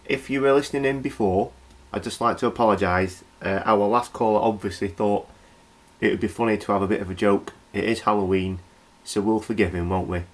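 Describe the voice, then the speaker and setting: A man plays a nervous British local radio host in a comedy horror radio play. His voice sits fairly low, and he stumbles and rambles apologetically as he tries to keep control. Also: a little fast. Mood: nervously chatty. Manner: hesitant, rambling, stammering.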